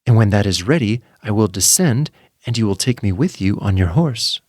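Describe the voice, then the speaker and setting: A man's voice: fairly low pitch, lively intonation, moderate pace, no room sound, clean studio sound. A man voicing an innocent, trusting young maiden in a storybook, speaking earnestly and guilelessly. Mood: earnest, hopeful. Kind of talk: storybook character voice.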